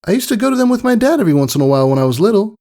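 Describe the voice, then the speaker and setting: A man performs a plucky little girl pegasus from a storybook. The voice sits far up high and is excitable, earnest and eager. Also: racing. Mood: excited, eager. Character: plucky young filly pegasus, eager and brave.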